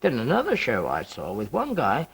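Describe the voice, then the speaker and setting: Very animated, gravelly man telling anecdotes. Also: medium pitch, normal speed. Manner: animated storyteller, acting out dialogue. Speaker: interviewee. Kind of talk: anecdotal talking-head interview. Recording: faint music behind, VHS tape.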